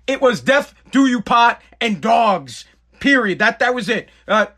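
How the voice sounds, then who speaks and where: A man rants on his own live podcast, blunt and profane, repeating himself as he goes. His voice sits high for a man. It has a bright, forceful edge. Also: sing-song, measured pace. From Boston area, New England. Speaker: podcast host. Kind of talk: live-streamed podcast rant.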